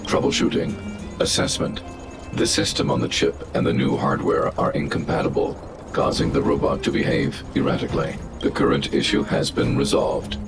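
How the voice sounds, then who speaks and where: A man's voice: very deep voice, flat delivery, moderate pace, gravelly. A man voicing a huge, stern robot guardian in a video game, speaking in clipped, formal, coldly analytical phrases. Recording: game music behind the voice.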